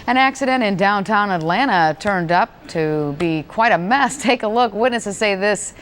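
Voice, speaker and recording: A woman's voice: animated, normal speed, a bit bright. A woman news anchor reading scripted stories in a TV broadcast. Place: TV news studio. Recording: faint low background noise, 1990s TV broadcast.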